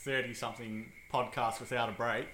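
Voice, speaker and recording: A man's voice: mid-range voice, level tone, fairly quick, sharp tone, reverberant, slight background noise, poor audio. A young man co-hosting a podcast, easygoing and hesitant, filling gaps with ums. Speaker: podcast co-host. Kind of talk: casual chat.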